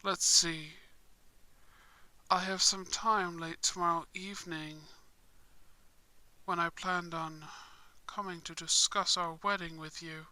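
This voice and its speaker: A woman voicing a courtly, smug vampire prince in a romantic roleplay, with formal, measured, suave phrasing. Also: fairly low pitch, steady tone, pushed and bright, no echo, voice pushed down, heavily acted, low-quality recording. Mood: courtly, considering. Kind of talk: scripted roleplay ASMR.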